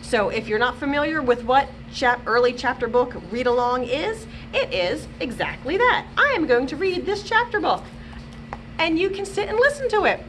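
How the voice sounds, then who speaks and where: A woman reading a children's chapter book aloud in an expressive storytelling manner, like a librarian at storytime. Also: sits high, normal speed, a little hiss or hum.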